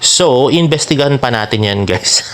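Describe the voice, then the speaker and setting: Animated Filipino man, chatty gossip vlogger. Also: mid-range voice, normal speed. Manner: chatty, teasing, jokey. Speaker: celebrity fan vlogger and host. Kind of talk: casual showbiz gossip commentary in Taglish.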